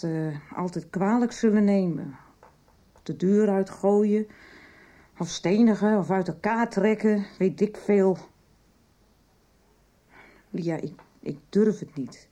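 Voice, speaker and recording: An actress in a radio soap playing a troubled, wry mother and recovering alcoholic, middle-aged and halting. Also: mid-range voice, dark tone, natural voice. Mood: anxious, confiding.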